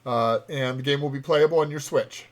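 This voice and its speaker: A man's voice: medium pitch, moderate pace. A man chatting casually and playfully as he co-hosts a livestream about game development. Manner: playful, punning.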